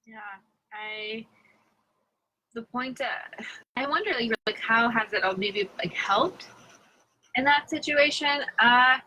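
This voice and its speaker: A woman's voice: on the high side, slow pace, long pauses, bright, forceful tone, rough recording. A woman on a discussion panel, speaking reflectively with some hesitant restarts. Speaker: panel member.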